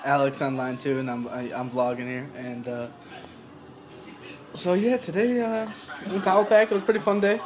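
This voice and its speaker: A man's voice: mid-range voice, normal speed, noisy background. A young man vlogging casually to his phone camera, rambling with lots of fillers and restarts. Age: young adult. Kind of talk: casual vlog chat to camera. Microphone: phone at arm's length.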